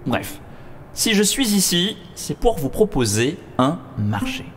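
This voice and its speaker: A man plays a pompous start-up turncoat in an audio comedy. His highish voice swings expressively and carries a long hall reverb. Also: sing-song, slow, full character voice. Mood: smug. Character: smug, aggrieved male start-up turncoat. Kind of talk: amateur audio comedy acting. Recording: long hall echo, faint music.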